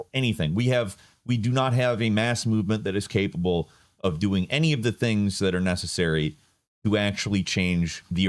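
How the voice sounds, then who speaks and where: A man giving casual political commentary, sardonic and rambling. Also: on the low side. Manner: sardonic, rambling with fillers and restarts.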